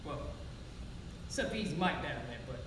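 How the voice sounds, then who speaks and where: An actress playing a wounded, frank, streetwise homeless young woman in a plainspoken, profane stage monologue with dramatic pauses. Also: low voice, expressive. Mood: weary, wry. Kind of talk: theatrical monologue in a one-act stage play. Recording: echoey hall, far-off mic, background noise.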